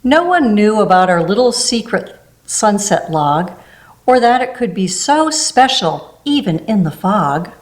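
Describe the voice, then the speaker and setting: A woman reading a rhyming children's picture book aloud, gentle and unhurried, with small asides between the pages. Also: mid-range voice, big pitch swings. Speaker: storybook reader.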